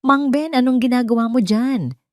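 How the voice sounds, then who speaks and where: A woman's voice: on the high side, normal speed, a little mellow, no room sound, clean studio sound, voice pushed up, close to an everyday voice. A woman voicing a secretive female patient with a knowing, coaxing manner. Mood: feigned concern.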